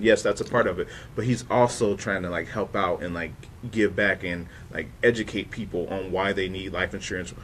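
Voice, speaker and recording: A man's voice: fairly low pitch, a little hiss or hum. A man in his thirties hosting a casual interview, chatting in an informal, conversational way. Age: 30s. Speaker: show host.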